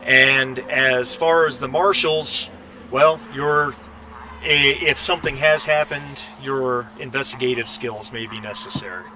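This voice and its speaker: A man speaking haltingly and deliberately as game master, narrating a tabletop role-playing game. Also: medium pitch, pushed and bright, slight background noise.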